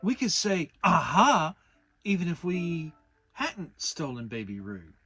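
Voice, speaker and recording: A humble, simple-minded storybook bear, played by a man. He muses slowly and earnestly, in a clear middle-to-raised pitch with a gentle lift. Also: huge pitch swings, no echo, faint music behind, full character voice. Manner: slow, musing, earnest.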